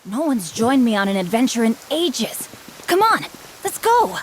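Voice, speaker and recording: A woman's voice: on the high side, big pitch swings, unhurried, a little hiss or hum. A woman voicing an upbeat, luckless young boy adventurer in a video game, chipper and boyish. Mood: excited. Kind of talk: scripted video game voice acting.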